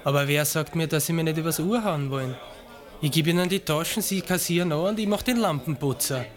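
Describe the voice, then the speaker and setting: An actor playing a wary, streetwise petty thief with Viennese accent and slang in a radio play. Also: male voice, a little high, a little fast, natural voice. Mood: wary, calculating. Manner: slangy, suspicious.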